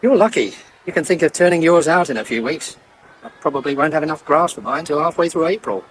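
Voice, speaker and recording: An actor playing a wry, harried farmer with a British accent in a 1980s radio soap. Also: male voice, on the high side, animated, fast talker, slightly rough. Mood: wry, weary. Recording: faintly noisy old radio broadcast.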